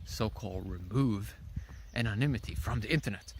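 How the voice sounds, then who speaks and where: A man giving a casual, unscripted opinion monologue in a rambling, halting way, often repeating his phrases. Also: fairly low pitch, background noise. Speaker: vlogger.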